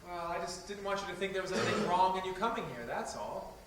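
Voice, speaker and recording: A man acting in a stage play as a loyal, easygoing but defensive son, speaking casually and haltingly. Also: sits high, expressive. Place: theater stage, captured from far off. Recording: very echoey distant stage recording, clear hiss.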